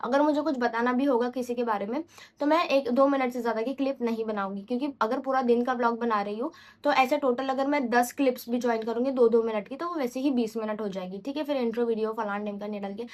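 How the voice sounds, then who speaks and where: A woman's voice: fairly high pitch, fairly even intonation, fast talker, darkish tone. A young woman vlogger talking casually and unscripted, rambling and chatty, slipping English phrases into her Hindi and often correcting herself. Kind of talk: casual unscripted vlog talk.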